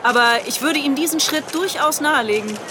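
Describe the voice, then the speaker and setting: An actress playing a smooth-talking, calculating town mayor giving formal statements in a German radio drama. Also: female voice, high voice, a little fast, background noise, natural voice. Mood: self-satisfied.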